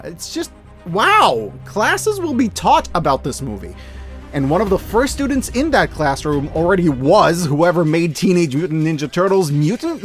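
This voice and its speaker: A man in his thirties giving scripted, enthusiastic video-essay commentary as a host, in an emphatic, gushing, informal way. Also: a little high. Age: 30s. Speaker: host of a year-in-review media video. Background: music.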